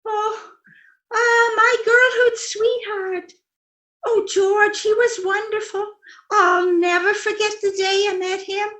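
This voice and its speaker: A ditzy, dreamy sitcom wife in a very high, girlish voice. She gushes innocently and takes her time, in old-time radio comedy style with a roomy echo. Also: fairly even intonation, takes it slowly, sharp tone, full character voice. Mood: dreamy, giggly. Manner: naive, gushing, innocent delivery of absurd remarks. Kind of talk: re-enacted 1940s radio comedy acting. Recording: roomy, echoing voice recording.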